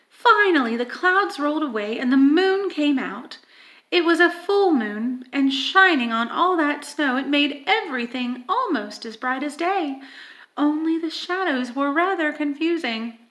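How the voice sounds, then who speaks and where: Woman, high voice, slow pace, children's storybook reading, dramatic emphasis, hushed phrases. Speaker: audiobook reader. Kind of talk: expressive children's storybook read-aloud.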